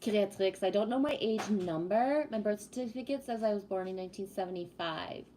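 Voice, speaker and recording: A woman in her fifties hosts a casual livestream and chats freely with her viewers. Her voice sits at an ordinary middle pitch, with a faint hiss of noise behind it. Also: brisk, mellow. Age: 50s. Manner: chatty, greets viewers, spiritual talk. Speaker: livestream host.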